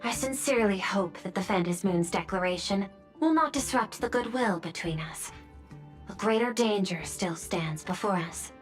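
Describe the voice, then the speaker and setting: A woman plays a coolly diplomatic government official in a game. Her mid-pitched voice is formal, precise and composed, with music underneath. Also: expressive, moderate pace, natural voice. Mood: composed, cautioning. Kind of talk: video game voice acting.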